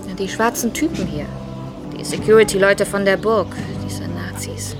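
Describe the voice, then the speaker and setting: A woman's voice: medium pitch, lively intonation, moderate pace, close to an everyday voice. An actress playing a frank, informal, chatty woman friend in a conversational radio drama style. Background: music.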